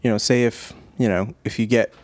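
A man hosting a podcast, chatting casually in an easygoing, halting way. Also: on the low side, fairly even intonation, a little mellow, dry recording. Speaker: podcast host, musician.

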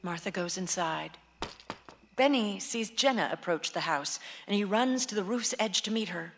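A woman's voice: mid-range voice, lively intonation, normal speed, close to an everyday voice. A woman narrating stage directions as scripted narration for a radio play. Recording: hall-like reverb, faint noise.

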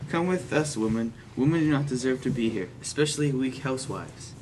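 Noise sits clearly behind a mid-pitched male voice, a young man acting a scornful guard of ancient Athens. He gives orders in an amateur radio-play style. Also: expressive, fairly quick, lightly acted. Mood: scornful, commanding. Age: young adult. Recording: clearly noisy amateur recording with clicks.

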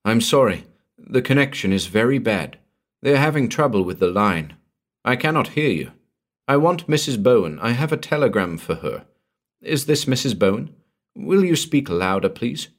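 A man voicing a cool, formal telephone caller who reads out a telegram in a measured way. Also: medium pitch, very animated, voice pushed up, lightly acted.

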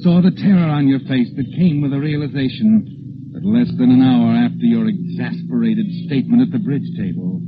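An actor playing an omniscient, sardonic narrator of a 1940s radio mystery, taunting a guilty man in an ominous, knowing, deliberate way. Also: medium pitch, very noisy, natural voice. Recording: dull old radio recording with music behind.

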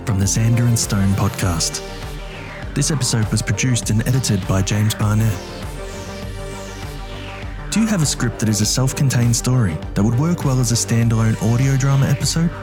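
A man's voice: medium pitch, expressive, moderate pace, over music. A man reading scripted podcast credits as the show's announcer.

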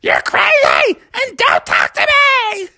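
Man putting on a shrill, squeaky little girl frog. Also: wildly swooping pitch, slow pace, vocal fry, sharp tone, dry recording, raised voice, clean studio sound, heavily acted. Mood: indignant. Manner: indignant, shrill, insistent. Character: six-year-old girl frog, squeaky and exasperated.

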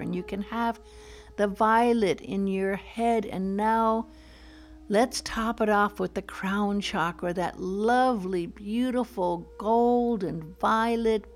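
A woman leading a guided meditation in a soft, soothing, gentle voice, with poetic phrasing. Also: medium pitch. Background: soft music.

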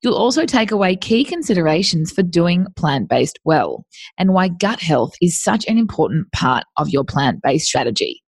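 A woman's voice: lowish voice, moderate pace, breathy edge. A woman hosting a podcast, reading a scripted, upbeat introduction. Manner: upbeat, lively read. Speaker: podcast host. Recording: music bed under voice.

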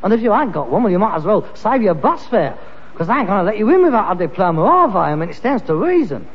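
An actor playing an exasperated, self-important working-class London son, nagging pompously in a Cockney accent in a vintage British sitcom. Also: male voice, sits high, very animated, quick pace, slightly rough, full character voice. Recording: dull, noisy, reverberant archive sitcom recording.

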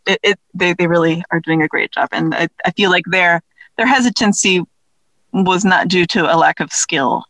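A woman speaking as an interview guest in casual conversation, thinking aloud in a halting way. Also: fairly low pitch, a bit bright, no room sound, low-quality recording. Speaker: guest, audio drama creator.

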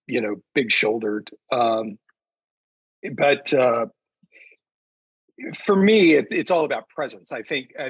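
Halting man with a husky, dry-recorded voice. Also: medium pitch, no echo, low-quality recording. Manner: halting, rambling, searching for words. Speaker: author guest on a panel. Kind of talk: casual chat.

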